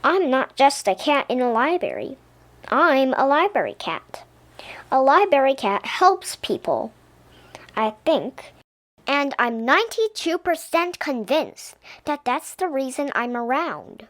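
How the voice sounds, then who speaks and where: An eager young tomcat from a storybook, acted out by a woman, speaks with sweet, earnest excitement. The voice is high and swoops expressively, taking its time over the words. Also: a little slow, exaggerated voice. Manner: earnest, exclamatory. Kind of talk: storybook character voice.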